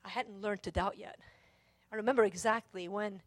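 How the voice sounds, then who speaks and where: A woman from Lebanon preaching a sermon, repeating phrases for emphasis and moving between hushed and forceful delivery. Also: medium pitch, fairly even intonation, normal speed, no room sound. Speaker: preacher, Bible teacher. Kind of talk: sermon with scripture reading and personal testimony.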